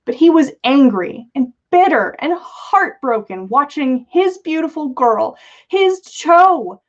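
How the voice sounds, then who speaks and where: A woman's voice: high voice, lively intonation, takes it slowly, muffled recording. A woman reading a story aloud for a livestream in an amused, dramatic manner, with small slips. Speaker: podcast host reading listener fan fiction.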